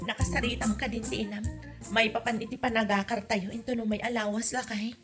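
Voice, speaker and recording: A woman's voice: a little high, fairly even intonation, a little fast, over loud music, close to an everyday voice. A woman with an Ilocano accent playing an exasperated, complaining young wife in a comedy drama. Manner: complaining, emphatic. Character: exasperated young wife fed up with meddling mother-in-law.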